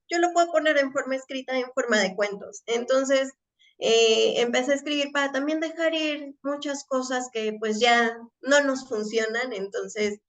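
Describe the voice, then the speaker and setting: A woman with a Mexican accent, a guest author and preschool teacher introducing herself in a friendly way with fillers. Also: sits high. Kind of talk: casual self-introduction.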